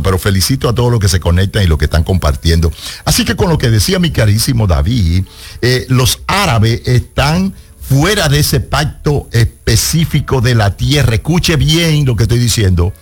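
Low-voiced pastor hosting radio. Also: male voice, animated, husky. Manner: emphatic, slows down to stress points. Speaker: pastor, radio show host. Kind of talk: radio call-in Bible teaching.

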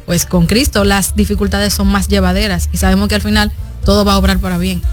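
A woman's voice: mid-range voice, little pitch movement, a little fast. Young Dominican woman, earnest, with music behind. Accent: Dominican Spanish. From Dominican Republic. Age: 20s. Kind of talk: conversational radio interview.

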